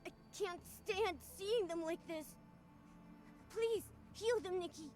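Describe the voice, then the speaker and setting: Very high, tender little companion. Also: female voice, slow, darkish tone, soft background music. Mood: worried, pleading. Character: small, tender-hearted, pleading companion to the heroine. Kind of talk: video game voice acting.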